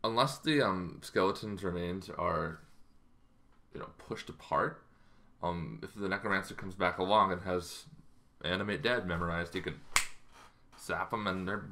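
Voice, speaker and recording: A man in his thirties talking casually to camera in an explanatory way, halting and improvised, in a small bedroom. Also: low voice, bright, forceful tone. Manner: halting, loosely improvised delivery. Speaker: tabletop roleplaying game vlogger.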